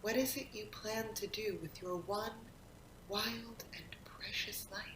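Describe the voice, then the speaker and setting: A woman reciting poetry expressively, in a deliberate manner with dramatic pauses. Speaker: poetry reader, actor. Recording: roomy echo, faint rumbling background noise.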